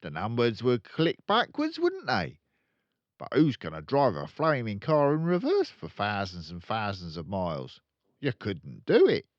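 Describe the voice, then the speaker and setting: Guy doing a bragging crooked car dealer dad, rude n loud, pitch jumps all over, storybook reading. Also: huge pitch swings, measured pace, dry recording, muffled recording. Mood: cocky explaining. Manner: loud bragging, sneering, colloquial. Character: boastful, crooked, rude car-dealer father, middle-aged.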